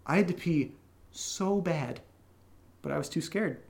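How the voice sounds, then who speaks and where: A man's voice: fairly high pitch, a little slow, vocal fry, a little mellow. A man co-hosting a casual question-and-answer chat, telling stories in a playful, self-deprecating way. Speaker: podcast co-host and audio drama writer.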